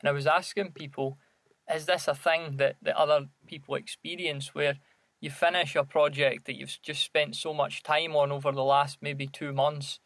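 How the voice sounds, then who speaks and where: A Scottish man talks casually as a podcast co-host. His voice sits a little high for a man, with a strong creak in it. He is reflective and hesitant, recorded close and dry. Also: little pitch movement, moderate pace, fairly bright tone, dry recording.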